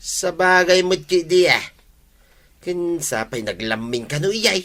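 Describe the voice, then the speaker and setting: Man acting as fretful Ilocano villager, sharp high voice. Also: animated, measured pace. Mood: worried, reluctant. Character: reluctant fretful older villager man with aching rheumatism. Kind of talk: Ilocano radio comedy drama acting.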